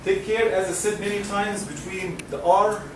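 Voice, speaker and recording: A man from Egypt leads a classroom lesson, drilling sounds slowly so students can echo them. His voice sits a little high. He is recorded from a distance in a reverberant, noisy room. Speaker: Quran recitation teacher. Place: lecture classroom. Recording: roomy classroom, mic far off, noisy.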